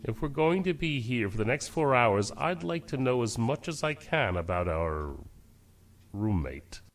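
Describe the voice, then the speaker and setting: An actor playing a calm, commanding starship captain in an audio drama, firm and measured in his delivery. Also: mid-range voice, background noise. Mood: measured, resolute.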